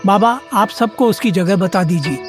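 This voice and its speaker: A man's voice: sits high, moderate pace, over music, lightly acted. A man playing a lazy, complaining young farmer's son in a Hindi cartoon story. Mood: casual.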